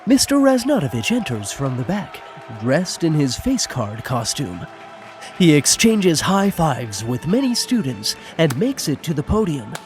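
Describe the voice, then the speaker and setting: Animated higher-voiced male narrator. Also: unhurried, faint music behind. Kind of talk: scripted narration of stage action.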